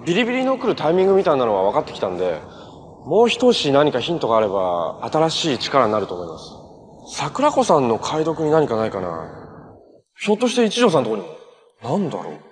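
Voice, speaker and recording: A man's voice: mid-range voice, huge pitch swings, brisk. An actor playing a cheerful, earnest young male superhero in a live-action TV drama, with a casual, friendly way of talking. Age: young adult.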